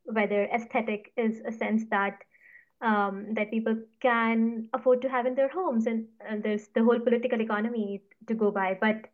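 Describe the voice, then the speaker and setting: A woman from Punjab whose first language is Punjabi, answering interview questions in a measured, careful way. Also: fairly high pitch, flat delivery, narrow, tinny audio. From Punjab, India. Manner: thoughtful, measured, careful hedging. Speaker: guest, writer on mosses.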